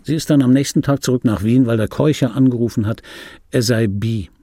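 An actor playing a wry, world-weary middle-aged film dubbing actor, reminiscing in a dry, laconic, intimate radio play monologue with a gravelly, husky voice. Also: male voice, mid-range voice, fairly quick, dark tone, no room sound, close to an everyday voice. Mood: dry, ironic. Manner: dry, laconic, musing aloud. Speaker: radio play actor, first-person narrator.

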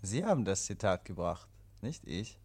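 Calm probing cop inspector, lowish voice, dry, old radio drama. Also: male voice, breathy edge, exaggerated voice. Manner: measured, dry, quietly insistent. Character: composed, probing, dry-witted male police inspector. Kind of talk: 1950s German radio play acting. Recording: vintage 1950s radio recording.

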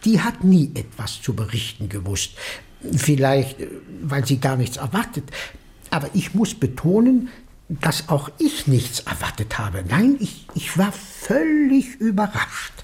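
Rambling, fussy old country priest, lively pitch. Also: male voice, measured pace. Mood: earnest, rambling. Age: older. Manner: hesitant, rambling, repeats himself, absent-minded. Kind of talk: German radio drama acting.

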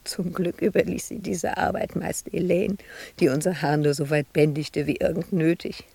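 Husky, brooding confined woman artist. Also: fairly low pitch, steady tone, normal speed, dark tone, no echo. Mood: bitter recollection. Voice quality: husky, creaky, often breaking into whisper. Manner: brooding, intimate, shifting between whisper and outburst. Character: confined woman sculptor, embittered, lonely, wistful. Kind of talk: radio drama monologue.

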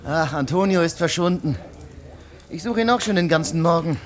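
A man's voice: a little high, lively intonation, normal speed, lightly acted. A man acting in a German radio play as an evasive, nervous friend under accusation, deferential yet hesitant and defensive. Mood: guarded, civil. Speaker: actor in a radio drama.

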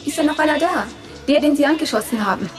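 An anxious woman companion with a high voice. She sounds questioning and uneasy in a radio adventure drama, with faint music behind her. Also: lively intonation, fast.

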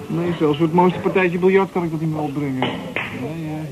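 Man playing high-pitched streetwise pub regular. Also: steady tone, normal speed, dull audio, music in the background, natural voice. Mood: amused. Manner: chatty, bantering, colloquial. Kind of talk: naturalistic radio drama dialogue.

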